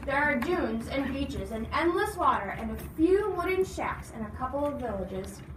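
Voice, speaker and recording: A woman's voice: high-pitched, lively intonation, moderate pace. A woman reading a storybook aloud expressively, stopping to explain and ask questions. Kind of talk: animated storybook read-aloud with asides. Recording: noisy, echoey room, distant mic.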